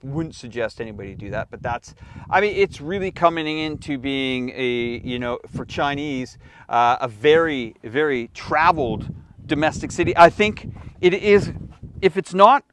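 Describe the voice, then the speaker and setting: A man's voice: on the high side, animated, normal speed, fairly bright tone. A man hosting a casual live stream, asking questions and commenting in an informal, rambling way. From Canada. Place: outdoors on the Great Wall. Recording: noisy outdoor live stream.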